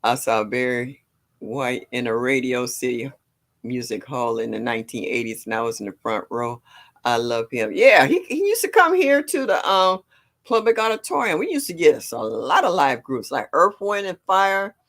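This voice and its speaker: A woman in her sixties from with a deep, low voice, chatting casually on a livestream, greeting viewers and laughing often. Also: expressive, moderate pace, fairly bright tone. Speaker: livestream host. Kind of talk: casual chat reading viewer comments.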